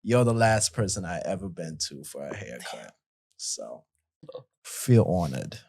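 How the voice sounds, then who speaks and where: A young man from Montreal hosting a podcast, playful and animated in casual chat. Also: low-pitched, unhurried, vocal fry. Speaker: podcast host.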